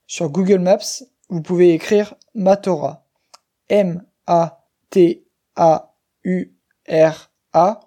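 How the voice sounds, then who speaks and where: A man in his twenties from western France, reading a scripted personal story slowly and clearly for language learners. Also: high voice, mellow. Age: 20s. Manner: slow, clear, careful reading. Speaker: guest speaker.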